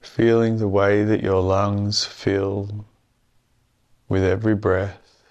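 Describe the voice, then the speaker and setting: A man guides a meditation in a low, smooth voice. He speaks very slowly and soothingly, leaving long pauses between phrases. His delivery stays calm and very even in pitch throughout. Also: muffled recording.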